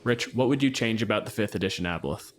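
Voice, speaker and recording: Low-voiced man, emphatic podcast host. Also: fast, slight background noise. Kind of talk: casual game discussion.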